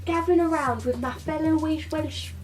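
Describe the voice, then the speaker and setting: A woman's voice: high voice, normal speed, faint music behind. A young woman doing a comic monologue in a slangy, swaggering tough-kid persona, filmed in a small bedroom from a camera a few metres away. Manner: slangy put-on tough-kid swagger, sing-song chants. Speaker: comedy vlogger. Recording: distant mic in a small room.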